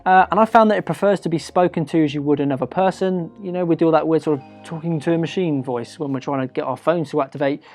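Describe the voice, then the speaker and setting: A British man with a slightly high voice presents a product review over faint background music. His delivery is conversational and chatty, partly scripted, and he leans on key words for emphasis. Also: quick pace, few pauses, darkish tone.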